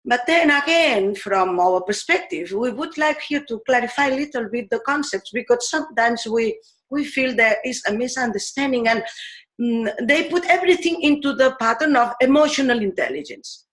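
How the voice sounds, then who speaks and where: A woman's voice: fairly high pitch, lively intonation, a bit bright. Spanish-accented woman teacher, musing. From Spain. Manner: thoughtful, pauses with many fillers. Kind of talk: explanatory talk on pedagogy.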